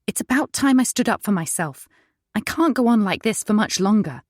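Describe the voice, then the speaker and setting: A woman's voice: mid-range voice, animated, normal speed, no room sound, voice pushed up, close to an everyday voice. A woman voicing an anxious young wife in an audiobook, timid and shaky, close to tears. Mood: defiant. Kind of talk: audiobook character voice.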